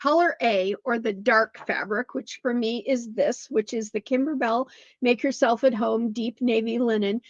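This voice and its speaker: A woman's voice: a little high, steady tone, moderate pace, no room sound. A middle-aged woman with a slightly scratchy voice, chatting her way through a quilting lesson and correcting herself as she goes. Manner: chatty, digressive, self-correcting. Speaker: quilting instructor. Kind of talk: chatty sewing tutorial. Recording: dull lo-fi dry sound.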